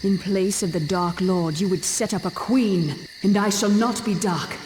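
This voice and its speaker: A woman playing an ancient, regal elven queen in a fantasy video game, solemn, measured and formal. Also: mid-range voice, a little mellow, a little hiss or hum, natural voice, low-quality recording. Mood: grave, intense. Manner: slow, measured, grave, formal.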